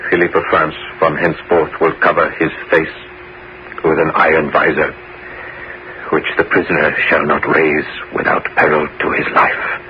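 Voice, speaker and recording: A man's voice: very deep voice, monotone, normal speed. A man playing a grave, remorseful scheming bishop of old France in a 1970s radio drama, with formal, theatrical delivery. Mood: solemn. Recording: muffled, noisy old radio broadcast.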